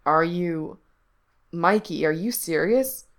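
A woman's voice: lowish voice, slow pace. Woman as fatherly man, patient, caring, careful, frank, informal, storybook dialogue. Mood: worried, gentle.

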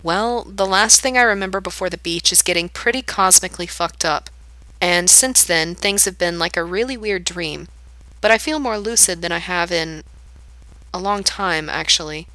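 Woman playing a nervous young man, hesitant, subdued, bright tone, lowish pitch. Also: normal speed, no room sound, poor audio. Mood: wary. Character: nervous young man trying to stay calm.

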